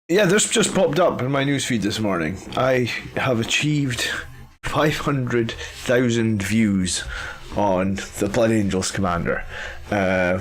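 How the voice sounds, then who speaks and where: Congested man chatting on a live stream. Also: lowish voice. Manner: chatty, greeting viewers by name, with frequent ums and uhs. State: congested from a head cold, with a cough. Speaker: host of a live army-list stream. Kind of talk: casual live-stream chat.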